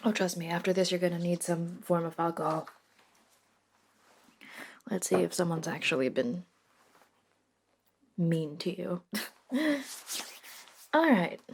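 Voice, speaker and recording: A woman playing a reluctant, sardonic modern witch in a soft-spoken ASMR roleplay, talking casually and hesitantly with dry wit and mild swearing. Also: on the low side, lively intonation, unhurried, creaky, long pauses, slight background noise. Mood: wry, teasing.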